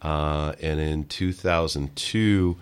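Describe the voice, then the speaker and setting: Deep-voiced easygoing man telling stories. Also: steady tone, slow, clean tone. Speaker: former radio DJ, guest. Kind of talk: casual interview storytelling.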